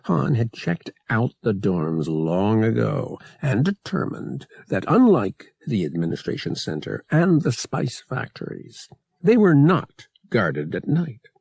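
A man's voice: medium pitch, very animated, slow, gravelly, darkish tone, husky, no room sound. A man reading an adventure novel aloud as dramatic scripted narration. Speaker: audiobook reader.

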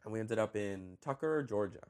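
Fast-talking animated man. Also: on the low side. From Colombia. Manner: animated, laughs often, trails off. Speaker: high school orchestra director. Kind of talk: conversational interview.

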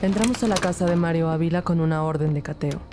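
A woman's voice: deep voice, quick pace, over music, close to an everyday voice. An actress playing an observant investigator, narrating her case in the first person in a wry, matter-of-fact way. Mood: wry narration.

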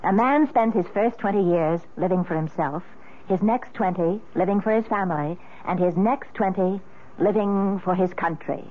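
A woman's voice: medium pitch, normal speed, lightly acted. A woman acting in a 1970s radio drama as a witty, socially ambitious middle-aged American wife with an arch, polished delivery. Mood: lively, proud. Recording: narrow, phone-like old radio sound.

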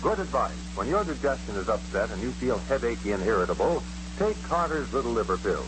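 A man acting in an old-time radio commercial as a dyspeptic customer and earnest pitchman, on a vintage recording. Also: big pitch swings, fairly quick, background noise, lightly acted. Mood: earnest selling.

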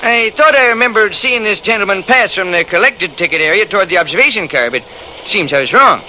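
An earnest train conductor in a vintage radio play. He speaks deferentially but emphatically, in a high, very sharp and bright voice with slight noise behind it. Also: lively intonation, a little fast, full character voice. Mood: earnest explaining. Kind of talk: old-time radio drama acting. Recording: noisy old radio drama recording.

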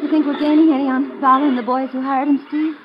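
Actress as American woman reporter, high voice, breezy, wry, radio adventure drama, dull noisy recording. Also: fairly even intonation, fast talker, music in the background, close to an everyday voice. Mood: anxious. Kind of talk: 1950s radio adventure acting.

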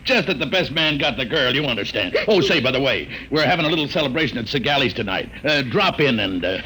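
Brash, swaggering radio bully played by an actor, lively pitch. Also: male voice, quick pace, lightly acted. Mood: smug, jovial. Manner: swaggering, chuckling. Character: brash, bullying, self-satisfied male fiancé. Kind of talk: mid-century radio drama acting. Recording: noisy vintage radio recording.

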